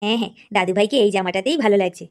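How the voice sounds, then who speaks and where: A woman's voice: mid-range voice, very fast, a little mellow, natural voice. A woman voicing a nagging, thrifty old grandmother and housewife in a comedy cartoon, full of complaints. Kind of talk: Bengali comedy cartoon dialogue.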